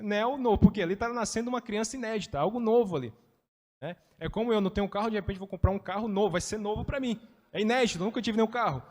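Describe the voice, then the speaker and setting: Guy teaching bible study, kinda high voice, lots of reverb, chatty. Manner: chatty, explains with everyday examples, frequent "né".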